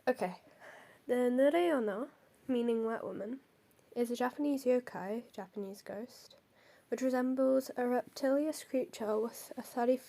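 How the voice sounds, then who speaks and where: A woman's voice: fairly high pitch, unhurried, gravelly, warm tone. A young woman with a cold and a stuffy nose, hosting and reading out folklore notes, hesitant with many ums and apologies. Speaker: host.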